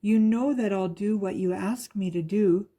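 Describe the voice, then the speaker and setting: A woman reads a rhyming picture book to children in a soft-edged, mellow voice with some huskiness. Her delivery is measured and follows the lilt of the verse, at a middling pitch. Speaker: guest story reader.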